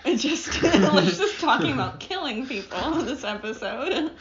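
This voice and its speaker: A woman co-hosting a casual history podcast, reacting with quick jokes, laughs and gasps. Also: on the high side, sing-song, highs cut off, a crowd in the background. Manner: reactive, laughs and gasps often, jokey asides. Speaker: podcast co-host.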